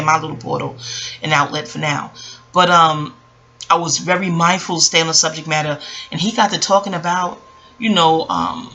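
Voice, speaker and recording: A woman in her early forties telling a personal story in a casual, reflective, rambling way, often digressing and acting out what people said. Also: lowish voice. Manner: rambling, digressive, animated retelling with acted-out quotes. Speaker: vlogger.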